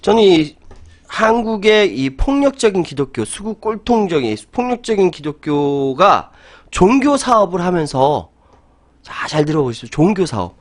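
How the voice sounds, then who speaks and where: A middle-aged man hosts a talk discussion. His voice sits a little high and his pitch moves a lot. He argues his opinions with energy and laughs often. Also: very animated, normal speed. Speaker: host.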